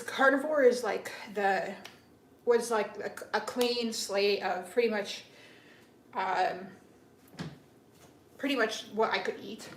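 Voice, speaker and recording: A young woman chatting on a livestream with her viewers, sounding stuffed up and rambling with many restarts and fillers. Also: medium pitch. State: stuffed-up nose. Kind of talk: casual livestream chat with viewers. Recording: echoey room with clear background noise.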